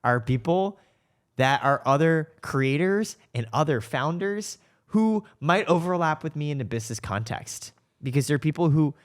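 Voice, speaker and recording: A man's voice: a little high, lively intonation, normal speed. A man hosting a podcast in a casual, conversational interview style, speaking informally and with enthusiasm. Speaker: podcast host and startup co-founder.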